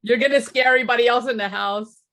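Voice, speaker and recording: A woman's voice: a little high, fairly even intonation, quick pace, a bit bright, low-quality recording. A woman hosting a podcast, chatting casually over a video call, laughing often and reading from a list. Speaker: podcast host. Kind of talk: casual chat.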